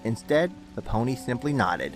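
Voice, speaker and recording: A man narrates a dramatic story from a script. His mid-pitched voice carries the prose, with faint music playing underneath. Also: big pitch swings, normal speed.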